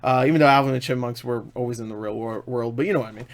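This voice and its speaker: A man's voice: mid-range voice, racing. A man giving a casual, unscripted film review in an enthusiastic, emphatic, rambling way, often repeating himself.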